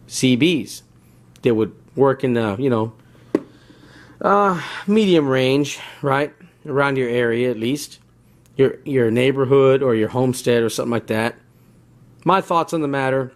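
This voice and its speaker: A man talking casually and unscripted as he demonstrates gear and gives advice, often checking in with "right?" and "you know". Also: mid-range voice. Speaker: video host demonstrating radio gear.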